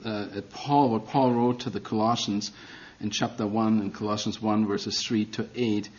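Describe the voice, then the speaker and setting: A man's voice: on the low side, fairly even intonation, moderate pace, a little mellow, muffled recording. A middle-aged man with a German accent preaching a sermon as a guest, earnest and self-deprecating, with non-native phrasing.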